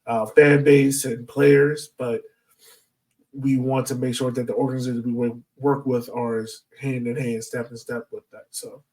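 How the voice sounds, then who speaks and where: A man's voice: mid-range voice, level tone, normal speed, a little mellow, recorded from a distance, poor audio. An American man hosting a podcast in a casual, informal way, speaking directly to his listeners from a little way off the mic. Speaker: podcast host.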